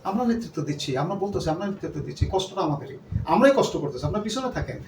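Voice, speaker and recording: A man's voice: high voice, very animated, fast, a little mellow. A man speaking earnestly to a gathering of shareholders as their company leader, repeating himself and dropping in English words. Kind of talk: speech to a gathering. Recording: echoey room, distant mic, background noise.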